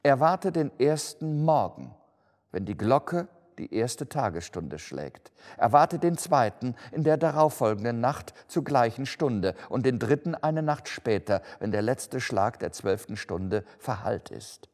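A man's voice: mid-range voice, lively intonation, unhurried, a little mellow, pitched above the performer's own voice. Man voicing an anguished ghost in cavernous reverb. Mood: urgent, warning. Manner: solemn, declamatory, lamenting. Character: anguished male ghost in chains, stern and doomed. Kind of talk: dramatic storybook reading. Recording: long hall-like reverb.

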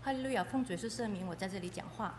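A woman's voice: mid-range voice, racing. A woman interpreting a testimony aloud, phrase by phrase. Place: echoey hall. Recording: echoey hall with faint noise.

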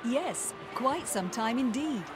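An actress playing a kindly, gently teasing mother pig in a children's cartoon game. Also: a little high, lively intonation, slow, over music.

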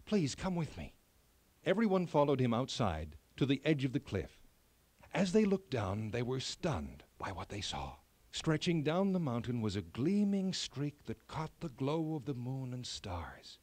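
A man narrating a children's fairy tale in an expressive, measured storytelling style. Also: medium pitch, no echo.

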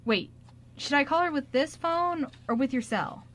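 A woman's voice: high voice, lively intonation, normal speed, fairly bright tone. A woman playing a sweet, boyish, apologetic man, hesitant and earnest, in an amateur radio drama. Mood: upbeat.

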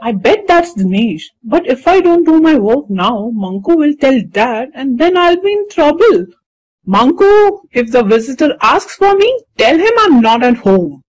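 A woman's voice: high-pitched, very animated, unhurried, a little mellow, no echo, full character voice, rough recording. A woman voicing a young, easily exasperated schoolboy with a complaining manner in a children's cartoon. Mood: anxious. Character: exasperated young schoolboy, whiny and excuse-making.

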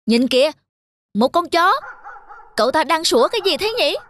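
A woman voicing a greedy, gullible, hot-tempered monkey in a children's cartoon, excitable in manner. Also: sits high, moderate pace, no room sound, studio quality. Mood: curious. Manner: eager, excitable. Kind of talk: children's cartoon fable dialogue. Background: background music.

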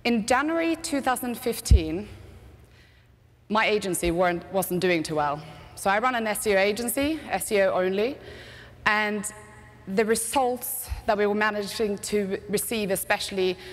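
A woman with a Norwegian accent softened by years in Britain delivers a keynote in a chatty, self-deprecating way. Her headset voice carries a long reverb from the big conference hall. Her pitch sits mid-range for a woman. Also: lively intonation, moderate pace. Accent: Norwegian with British English mix. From Norway. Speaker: conference speaker, agency CEO. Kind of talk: keynote presentation. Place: large conference stage.

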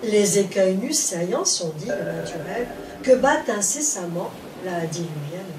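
A woman reciting epic poetry in a solemn, measured declamation, phrase by phrase. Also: medium pitch, animated. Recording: roomy, distant microphone, clear background noise.

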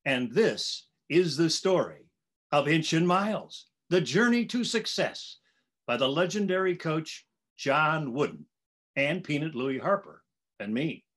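A man's voice: on the high side, big pitch swings, unhurried, fairly bright tone. A man in his sixties, an author reading scripted narration for a children's story.

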